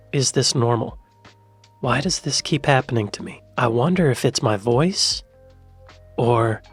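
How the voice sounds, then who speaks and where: An American man hosting a reaction video in casual commentary, with a soft, quiet voice and a musing manner that trails off and repeats itself. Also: medium pitch, slightly rough. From United States.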